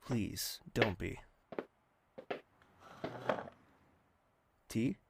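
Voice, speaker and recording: An actor playing a courteous, quietly caring host and narrator with a husky, measured, dry delivery in an audio drama. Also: mid-range voice, very noisy. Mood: gentle, reflective.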